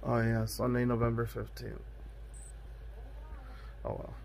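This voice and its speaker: A young man with a hoarse, raspy voice, recording a casual video diary close to his phone camera in a bedroom. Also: mid-range voice, completely flat delivery, darkish tone, slight background noise. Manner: slow, subdued, many fillers. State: hoarse from illness. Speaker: vlogger. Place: bedroom indoors. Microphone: phone camera close to face.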